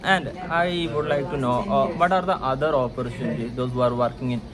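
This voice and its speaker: A young man from India, hosting a vlog and putting halting, polite questions to a colleague in a lounge, recorded on a camera held close. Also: medium pitch, steady tone, a loud crowd. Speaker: vlog host interviewing a colleague. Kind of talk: casual interview questions. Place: indoor hotel lounge.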